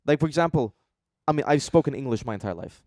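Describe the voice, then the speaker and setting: A man's voice: mid-range voice, lively intonation, quick pace, a little mellow, no echo, studio quality. A man from Denmark chatting casually as a co-host of a reaction show, with a blunt, joking, sweary manner. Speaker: co-host reviewing beatbox competition entries.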